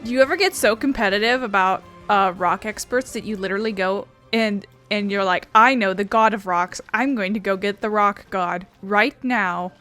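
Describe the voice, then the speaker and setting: A woman's voice: mid-range voice, moderate pace, hardly any pauses, a bit bright, soft background music. A woman giving casual, chatty let's-play commentary, musing aloud as the host of a gaming video.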